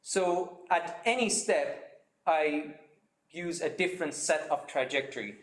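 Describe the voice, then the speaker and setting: A man giving a seminar talk, explaining his method step by step with many pauses and fillers. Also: on the high side, echoey room, poor audio. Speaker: seminar speaker.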